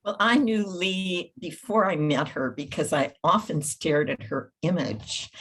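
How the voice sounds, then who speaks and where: A woman's voice: low voice, gravelly, breathy edge, low-quality recording. An older woman speaking at a literary event, introducing and reading memoir aloud.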